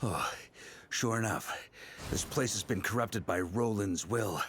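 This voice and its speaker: An actor playing a seasoned knight leader in a video game, calm, wry and confident, explaining things in a measured, easygoing way. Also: male voice, fairly low pitch, slight background noise. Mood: assured. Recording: music behind the voice.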